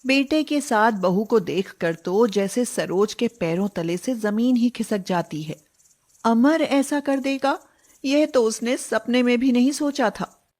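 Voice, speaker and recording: A woman's voice: a little high, slow, a little mellow. A woman narrating a scripted moral story in a dramatic storytelling style.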